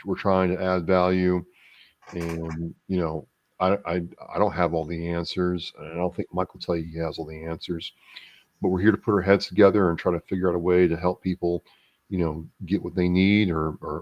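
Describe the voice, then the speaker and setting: Very deep-voiced male host, dry sound. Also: a little mellow, no echo, muffled recording. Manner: upbeat, repeats words. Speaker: show co-host. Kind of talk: live show hosting.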